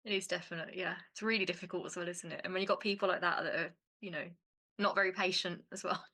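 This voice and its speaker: Bright-voiced woman host. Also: lowish voice, steady tone, fast. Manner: short encouraging back-channel replies. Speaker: podcast host. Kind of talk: casual interview.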